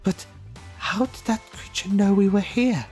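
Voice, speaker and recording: A curious alien woman acted out by an audiobook reader, set much higher than the narrating voice. She sounds wide-eyed and inquisitive, with music underneath. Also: unhurried, a little mellow, natural voice. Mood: puzzled. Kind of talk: dramatic audiobook character voice.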